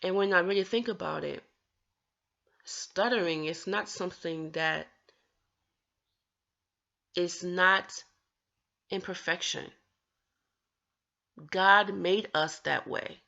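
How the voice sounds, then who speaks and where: A woman's voice: fairly low pitch, slow, vocal fry, pauses often, pushed and bright, dull audio. A woman vlogger talking earnestly about her own stutter, with occasional stammered restarts as she encourages others. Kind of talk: personal talk to camera.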